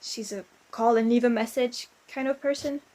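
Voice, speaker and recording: A woman playing a distraught, lonely young female ghost in an emotional audio roleplay, speaking haltingly with stammered repetitions. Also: on the high side, steady tone, darkish tone, close to an everyday voice. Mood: uneasy, halting.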